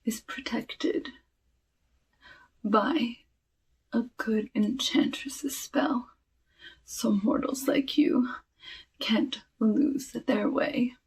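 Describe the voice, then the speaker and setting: Woman as sinister forest witch for ASMR roleplay: high-pitched, extremely slow, hushed and savoring, coolly menacing. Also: fairly even intonation, distant mic, heavily acted, rough recording. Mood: gloating. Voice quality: breathy. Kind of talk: ASMR roleplay, soft talking.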